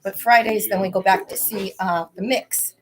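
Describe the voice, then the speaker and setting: A woman guest chatting casually in a rambling, halting way, her voice a bit hoarse. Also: mid-range voice, steady tone, rough recording. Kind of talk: casual chat.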